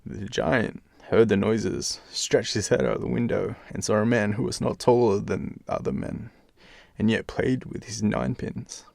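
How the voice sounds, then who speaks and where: Slow, gravelly Australian male storyteller. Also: fairly low pitch, steady tone, darkish tone, no echo, studio quality. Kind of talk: bedtime fairytale reading with casual asides.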